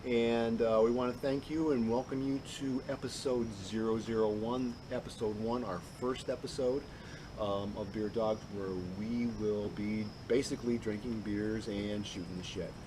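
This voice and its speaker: A man from with a hoarse, raspy voice, rambling in casual chat as a podcast co-host on an outdoor patio. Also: lowish voice, fairly even intonation, normal speed. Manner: rambling, chatty storyteller. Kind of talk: casual chat over beers. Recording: noisy outdoor recording.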